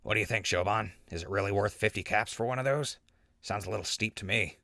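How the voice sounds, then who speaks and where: A wry wasteland survivor, played by a man, speaks in a low, forceful voice. He is casual and a little skeptical, in a dry audio drama recording. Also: fast talker, vocal fry, no room sound. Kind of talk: post-apocalyptic audio drama acting.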